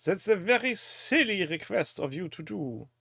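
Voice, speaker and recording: A man's voice: sits high, big pitch swings, normal speed, vocal fry, a bit bright, breathy edge, dry recording, studio quality. A man from Germany with a German accent, a native German speaker, hosting a casual live-stream chat in a jokey, teasing manner. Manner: jokey, crude humour, teasing.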